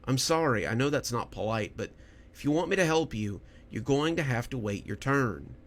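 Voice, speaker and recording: A man voicing a firm, weary female doctor who speaks in measured reproof in a horror audio story. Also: medium pitch, sing-song, close to an everyday voice. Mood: firm, reproving.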